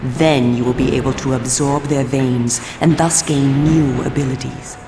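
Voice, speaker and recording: A woman playing a stern, commanding vampire resistance leader in a dramatic video game, with a solemn, measured and persuasive manner. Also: extremely low pitch, fairly even intonation, reverberant, a loud crowd. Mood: stern warning.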